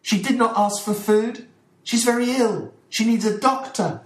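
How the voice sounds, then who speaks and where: A man reading an audiobook voices an indignant young maid, raising his pitch well above his own. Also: unhurried. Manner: indignant, pleading. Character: young female maid, fiercely protective. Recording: roomy echo.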